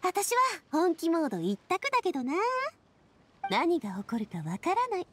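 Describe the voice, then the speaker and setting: High, lively, spirited young woman. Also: moderate pace, exaggerated voice. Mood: excited, teasing. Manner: expressive, breezy, encouraging. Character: spirited, confident, sociable young woman adventurer. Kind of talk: anime video game acting. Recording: music under the voice.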